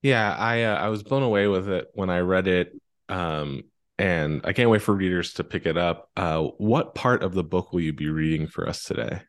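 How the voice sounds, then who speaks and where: A man's voice: low voice, normal speed. A man hosting a books podcast in a casual, conversational interview style. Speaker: podcast host.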